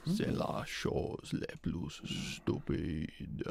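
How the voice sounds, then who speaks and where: A man playing an imperious all-powerful wizard in an ASMR film, pompous, breathy and commanding. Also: very deep voice, huge pitch swings, extremely slow, darkish tone, a little hiss or hum, full character voice. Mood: grand, imperious. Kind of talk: French ASMR roleplay film.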